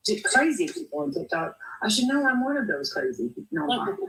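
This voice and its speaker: A woman's voice: medium pitch, big pitch swings, fast, a bit bright, recorded from a distance, poor audio. A woman giving frank opinions and reading viewer comments in a casual chat.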